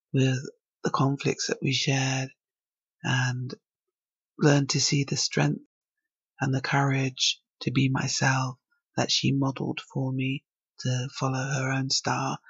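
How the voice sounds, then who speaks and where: A woman's voice: extremely low pitch, gravelly, husky, dry recording, muffled recording. A woman from England hosting her own podcast, talking alone in a reflective, thinking-aloud way. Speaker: podcast host. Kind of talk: solo podcast monologue.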